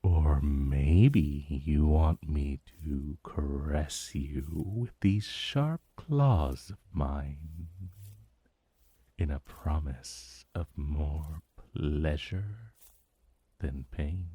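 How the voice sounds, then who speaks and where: Man playing a deep, very slow ancient dragon. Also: warm tone, breathy edge, no room sound, full character voice. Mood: amused, possessive. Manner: slow, formal, seductive murmuring with lingering pauses. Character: ancient male dragon familiar, possessive, silkily tender, vaguely menacing. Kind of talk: romantic fantasy ASMR roleplay.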